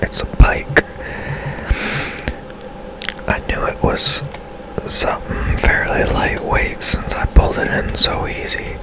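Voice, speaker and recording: A man giving hushed ASMR game commentary in a breathy, unvoiced whisper, musing as he plays in an unhurried way. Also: low-quality recording. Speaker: gaming streamer.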